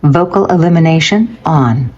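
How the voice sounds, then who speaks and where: A woman's voice: low-pitched, expressive, normal speed, dull audio, crowd noise. A synthetic woman's voice giving short announcements as a device's voice prompt, played through a speaker.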